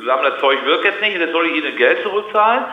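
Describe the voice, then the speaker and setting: A man's voice: a little high, expressive, fast talker, echoey room, muffled recording. A man arguing on a call in a blunt, confrontational, stammering way. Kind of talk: annoyed phone argument.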